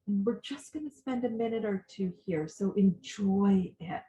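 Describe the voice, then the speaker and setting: A woman coach leading a guided visualization meditation, giving soothing, gentle instructions with long pauses. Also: medium pitch.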